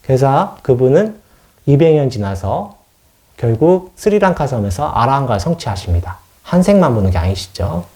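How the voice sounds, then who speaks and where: A man's voice: medium pitch, very animated, moderate pace, mellow. A man who is a Buddhist monk giving an informal dharma lecture on scripture, explaining as he goes and often answering his own questions. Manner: explanatory, poses questions and answers them himself.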